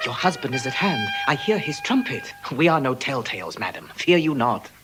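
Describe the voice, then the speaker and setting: Man acting eloquent young lover, Shakespearean verse, declamatory, animated pitch, music underneath. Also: lightly acted. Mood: courteous. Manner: lyrical, declamatory, measured. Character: Lorenzo, romantic eloquent young gentleman lover. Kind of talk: classical Shakespearean stage acting.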